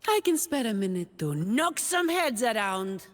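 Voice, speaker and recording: A young woman warrior from a video game, dutiful and a little weary. She is dry, composed and sure of herself in a fight. Her delivery is unhurried with wide swings in pitch, and a long reverb trails the voice. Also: sing-song. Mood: taunting, fierce. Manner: dry and composed, confident in battle. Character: dutiful, weary, wry young woman guardian with sword and shield. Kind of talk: video game character voice lines.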